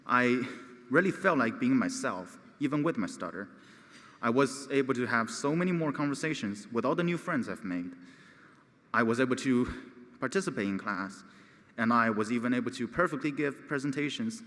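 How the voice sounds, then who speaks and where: A man's voice: medium pitch, a bit bright. A teenage boy who stutters, giving an earnest prepared speech at a gala. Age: teens. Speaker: gala speaker. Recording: long hall reverb.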